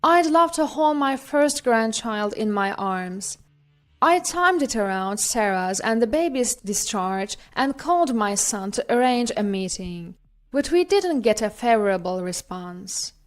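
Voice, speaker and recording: A woman reading a scripted personal story as a narrator, in a measured, deliberate storytelling manner. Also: on the high side, lively intonation.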